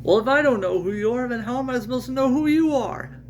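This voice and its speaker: A bewildered male crash survivor with no memory , acted out by a man reading a story, bickers and exclaims in a voice pushed up high. The home recording is noisy and hums. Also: expressive, fast. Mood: agitated, bewildered.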